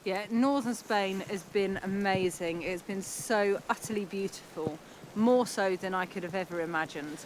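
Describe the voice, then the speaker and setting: Lady doing casual vlog chat, mid pitched voice, noticeable outdoor noise behind her. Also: normal speed, hardly any pauses, poor audio. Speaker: travel vlog co-host. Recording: clear outdoor background noise.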